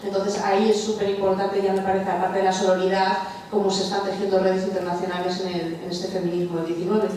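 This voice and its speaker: A young woman on an academic panel speaks quickly, her pitch staying flat. She corrects herself mid-sentence. Her voice reaches the mic from a distance through very heavy room echo. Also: slight background noise. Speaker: panel member asking a fellow speaker. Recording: distant, very echoey lecture hall.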